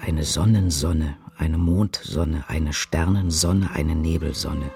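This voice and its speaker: A man reciting poetry in a measured, incantatory, deliberate way for a radio drama. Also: a bass voice, creaky. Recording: music behind the voice. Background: loud music.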